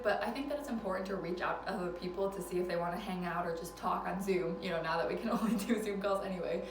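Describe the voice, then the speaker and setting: A woman's voice: medium pitch, distant mic. A woman in her twenties rattles through life advice in a casual vlog. She laughs often and pokes fun at herself. Her voice carries the echo of a room, with the mic set back and a faint hum of noise behind. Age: 20s. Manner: chatty, laughs often, self-deprecating. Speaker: vlogger. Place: indoors at a relative's house.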